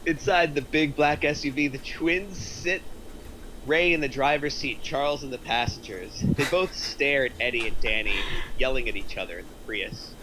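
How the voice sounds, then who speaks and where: A man's voice: mid-range voice, normal speed, noisy background. A man reading stage directions as the narrator at a film script table read.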